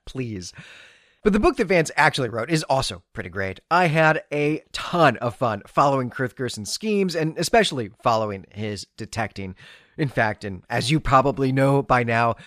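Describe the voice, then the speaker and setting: A man's voice: medium pitch, a bit bright, dry recording. A man with a mid-range voice presents a book review as a podcast host. His intonation swings widely as he speaks with emphatic, gushing enthusiasm in a conversational style. The sound is dry, with very little room in it.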